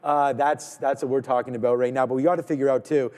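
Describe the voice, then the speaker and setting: A man from Canada hosting a live trading stream, talking in energetic, rambling casual banter, repeating words and shouting out viewers. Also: mid-range voice, quick pace, big-room sound.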